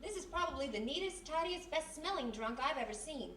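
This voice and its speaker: A woman playing a quick-witted, sassy young female detective's sidekick in old radio mystery style. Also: sits high. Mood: curious, wry. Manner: sassy, animated, scolding banter. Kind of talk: 1940s radio detective acting. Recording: echoey live room, distant mic, noisy.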